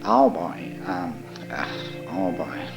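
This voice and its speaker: A man plays a flustered, bumbling creature boy in a surreal horror cartoon. He stammers in a low-pitched voice, with a roomy echo and clear music behind. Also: steady tone, takes it slowly.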